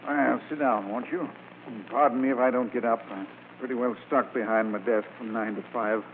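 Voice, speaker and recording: A man's voice: medium pitch, fairly even intonation, normal speed, natural voice. An actor playing a polite, fussy, formal insurance man in an old-time radio drama. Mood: politely fussy. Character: courteous, arthritic male insurance man behind a desk. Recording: tinny, noisy old radio recording.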